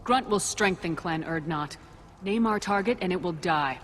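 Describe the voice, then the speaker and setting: A woman's voice: fairly low pitch, moderate pace, a bit bright, slight background noise, natural voice. A woman playing a composed, resolute human commander in a video game, speaking in a direct, businesslike way. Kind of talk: video game cutscene acting.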